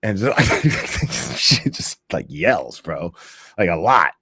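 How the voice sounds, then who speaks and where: A man's voice: low-pitched, sing-song, slow, sharp tone, breathy edge. A man hosting a live show, commenting casually on a court hearing, laughing often, swearing freely and mimicking people.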